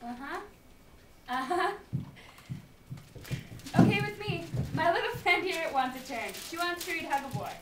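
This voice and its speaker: A young woman with a very high voice addresses children in a theatrical way. She is far from the mic in an echoey room with loud noise. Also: expressive, a little slow, long pauses. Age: young adult. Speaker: student readers theater performer.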